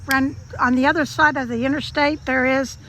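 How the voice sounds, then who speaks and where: A hoarse woman hosting a homesteading vlog, chatting casually and explaining tips to viewers while working outdoors. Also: high voice, fairly even intonation, a little fast, sharp tone, slight background noise. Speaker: homesteading channel host.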